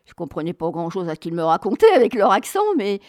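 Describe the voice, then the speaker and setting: A woman telling travel stories as an interview guest, chatty, colloquial and expressive, acting out bits of dialogue. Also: medium pitch, fast, no room sound, studio quality. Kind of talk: animated travel storytelling.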